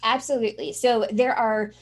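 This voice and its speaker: A woman's voice: on the high side, fairly even intonation, moderate pace. A woman interview guest explaining things in an earnest, assured conversational way. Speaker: interview guest, publicity trainer and journalist.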